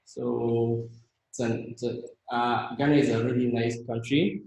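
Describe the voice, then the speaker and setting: Slow, halting young Kenyan man. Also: medium pitch, steady tone, vocal fry, a little mellow, roomy sound, a little hiss or hum, rough recording. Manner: halting, many fillers and restarts. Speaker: team member presenting himself. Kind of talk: casual slide presentation.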